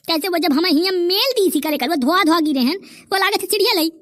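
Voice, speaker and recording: A woman voicing a brash, quick-tempered village character in a comedy cartoon, with a Bundeli accent. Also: very high-pitched, expressive, a bit bright, dry recording, studio quality.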